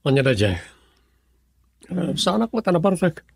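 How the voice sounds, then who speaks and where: A man playing a tipsy, callous, bitter husband in an Ilocano radio drama, with a husky voice. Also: on the high side, very animated, normal speed, darkish tone, dry recording, clean studio sound, exaggerated voice. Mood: dismissive.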